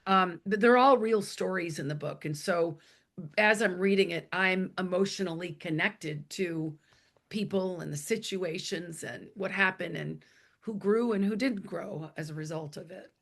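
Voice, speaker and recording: A woman with a hoarse voice, speaking as an interview guest in a plain, emphatic, conversational way. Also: fairly low pitch, unhurried, fairly bright tone. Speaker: interview guest, author and executive coach.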